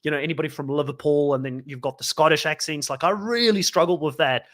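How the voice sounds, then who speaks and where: A man's voice: a little high, quick pace, fairly bright tone. Chatty man from South Africa, first language Afrikaans. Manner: rambling, with frequent likes and ums. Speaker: podcast co-host. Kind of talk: casual podcast chat.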